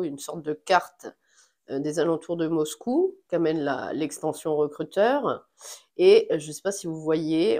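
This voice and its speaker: A woman with a slightly stuffy voice talks through a board game unboxing. She speaks slowly and sits a little low in pitch. She pauses often and fills the gaps with small fillers. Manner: slow, relaxed, thinking aloud with fillers. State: has a cold. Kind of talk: casual unboxing chat.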